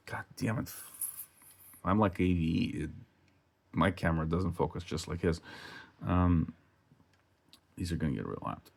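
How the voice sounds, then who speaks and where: A man's voice: deep voice, gravelly, a little mellow, husky. A man giving a casual, hands-on workshop explanation as host of a repair video, informal, often correcting himself and mildly profane.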